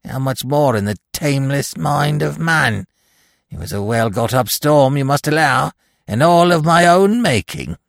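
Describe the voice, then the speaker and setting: A man voicing a misshapen, malevolent dwarf magician in a dramatic story reading, with theatrical, grandiose, mockingly genial delivery and a gravelly, creaky voice. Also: mid-range voice, animated, no echo, studio quality, pitched above the performer's own voice. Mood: smug, boastful. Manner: theatrical, drawn-out, archaic grandiose speech. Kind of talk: dramatic audiobook character reading.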